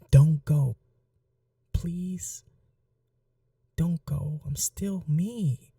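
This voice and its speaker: A woman voicing a tender, desperate young brother newly turned vampire in an ASMR roleplay, soft, breathy and pleading. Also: low voice, no echo, clean studio sound, distant mic, exaggerated voice. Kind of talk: ASMR audio roleplay, dramatic acting.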